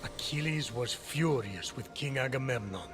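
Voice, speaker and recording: A man playing a wise, formal immortal who narrates Greek myths in a measured, grave manner for a video game. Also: medium pitch, lively intonation, close to an everyday voice. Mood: grave, storytelling. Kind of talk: scripted narration. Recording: music behind the voice.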